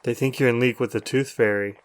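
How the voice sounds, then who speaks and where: A male actor plays an upbeat man who hosts a radio show among zombie apocalypse survivors. His voice sits at a middle pitch, and he chats in an animated, casual, teasing way, in audio drama style. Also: fast talker, close to an everyday voice.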